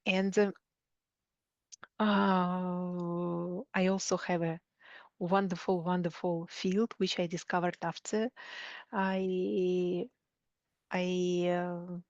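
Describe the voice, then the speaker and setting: A woman's voice: on the low side, level tone, very slow, long pauses, darkish tone, no room sound, highs cut off. A woman from Siberia with a Russian accent, answering interview questions conversationally and often searching for words. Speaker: coach, interview guest.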